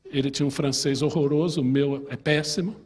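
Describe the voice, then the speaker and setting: Man, Brazilian Portuguese accent, pastor teaching Bible class, husky, fairly high voice, reverberant church hall. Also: fairly even intonation, brisk, a little mellow. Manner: chatty, asks the class questions, tells personal stories.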